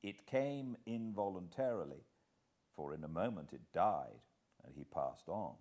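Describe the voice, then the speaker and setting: Deep, slow British male storyteller. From Britain. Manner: measured, expressive storytelling with dramatic pauses. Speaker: audiobook narrator. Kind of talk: dramatic literary audiobook narration.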